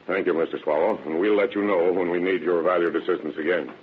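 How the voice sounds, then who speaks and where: An actor playing a confident, dogged sheriff in an old radio drama, firm and matter-of-fact. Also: male voice, deep voice, steady tone, fast, a little hiss or hum, lightly acted. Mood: confident, brisk. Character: confident, dogged male sheriff, brisk and authoritative. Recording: tinny narrow-band vintage radio recording.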